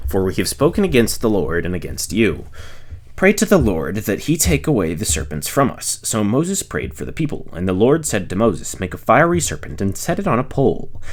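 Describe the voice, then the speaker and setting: Man, fairly low pitch, very varied intonation, scripture reading, measured phrasing, occasional stumbles. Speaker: reader, narrator. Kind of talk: scripture read aloud.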